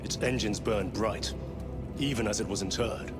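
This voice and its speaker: A man playing a stoic, seasoned space marine lieutenant in a sci-fi game, terse and solemn, over loud music. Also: lowish voice, normal speed, natural voice. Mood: resolute. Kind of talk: sci-fi video game cutscene acting.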